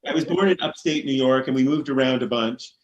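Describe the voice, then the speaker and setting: A man who grew up in Oregon, a bookstore co-owner, answering interview questions thoughtfully with many pauses. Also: mid-range voice, highs cut off. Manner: thoughtful, hesitant, many ums. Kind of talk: casual interview chat.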